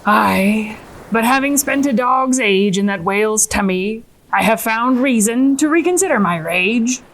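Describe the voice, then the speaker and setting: A woman's voice: a little high, expressive, unhurried, fairly bright tone. A woman voicing a brooding whaler puppet, solemn and wordy, in a theatrical children's show. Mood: reflective. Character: brooding, formerly vengeful old-time whaler puppet, newly reflective.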